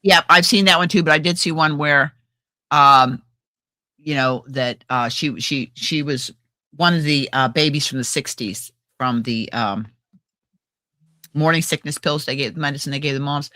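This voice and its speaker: A woman co-hosting a casual crafting livestream, chatty and animated, often trailing off and restarting. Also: sits low, moderate pace, no room sound. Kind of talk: casual chat. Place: home livestream.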